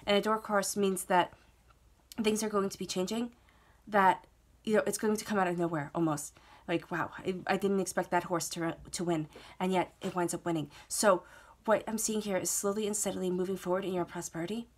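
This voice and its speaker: Stuffed-up woman giving a steady tarot reading. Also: mid-range voice, fairly quick. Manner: steady, reassuring, repeats phrases and checks in with "okay?". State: stuffed up from a cold. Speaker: tarot reader. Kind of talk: solo tarot reading to camera.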